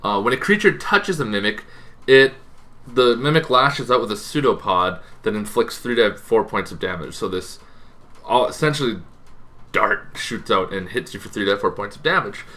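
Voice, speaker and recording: A man's voice: fairly low pitch, animated, moderate pace, bright, forceful tone. A man explaining tabletop game monsters in a casual, semi-improvised way, correcting himself and adding informal asides as he goes. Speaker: host of a tabletop gaming channel.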